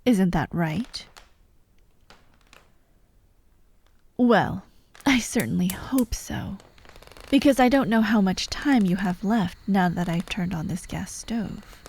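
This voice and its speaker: A woman's voice: medium pitch, a little mellow, slight background noise. A woman playing a vengeful fired actress holding hostages in an ASMR audio drama, taunting and theatrical, often dropping to a whisper. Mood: gloating, cruel. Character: vengeful fired actress, sadistic hostage-taker directing victims.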